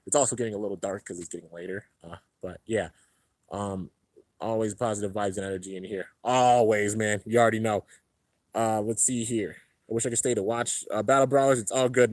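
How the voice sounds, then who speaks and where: Young male streamer, relaxed rambling chat, slightly congested, dry close sound. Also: dry recording. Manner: laid-back, rambling, heavy on fillers. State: a little congested. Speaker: YouTube host reading live chat. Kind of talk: casual livestream chat. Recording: low-end home stream setup.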